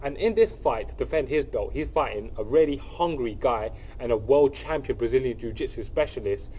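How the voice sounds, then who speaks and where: A man's voice: mid-range voice, lively intonation, normal speed, mellow, slight background noise, poor audio. A young man with a cold, hosting his channel and breaking down an upcoming fight in casual, enthusiastic commentary. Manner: enthusiastic, repeats phrases, many fillers and restarts. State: sniffly from a cold. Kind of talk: casual solo commentary to camera.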